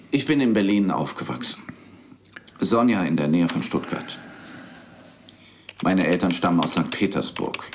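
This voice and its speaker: A melancholic middle-aged man in a naturalistic radio play. His voice is very low with a gravelly creak. He confides in a hesitant, brooding, resigned way, over audible background noise. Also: exaggerated voice. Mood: bitter, reflective. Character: weary, melancholic dentist confiding in his rabbi.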